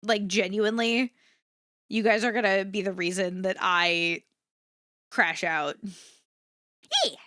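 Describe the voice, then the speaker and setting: A woman livestreamer chatting casually and candidly to her viewers, swearing freely and repeating herself for emphasis, in a versatile but slightly rough voice. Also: fairly even intonation, takes it slowly, long pauses, bright, forceful tone, dry recording, studio quality. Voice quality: versatile voice, swinging between low and high. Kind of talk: casual rambling chat to an audience.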